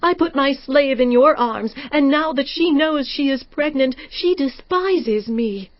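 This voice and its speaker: An actress playing a bitter, aggrieved wife in a dramatized scripture reading, speaking emphatically. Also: female voice, high-pitched, animated, measured pace, no echo. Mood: indignant. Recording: slightly dull, muffled audio.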